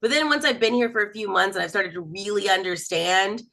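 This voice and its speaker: A woman's voice: medium pitch, a little fast, gravelly. A woman from Texas doing a self-mocking stand-up comedy set on a video call. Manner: chatty, self-mocking, lively storytelling.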